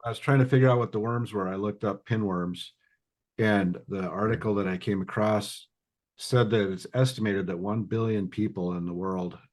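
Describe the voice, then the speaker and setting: A man co-hosting a casual podcast, talking in a relaxed way and laughing at his own jokes. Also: on the low side, moderate pace. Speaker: podcast co-host.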